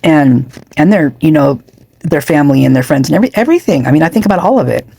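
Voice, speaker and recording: A woman's voice: low voice, expressive, fast talker, vocal fry, mellow, husky, dry recording. A woman losing her voice, chatting casually and rambling with many asides as a true crime commentator.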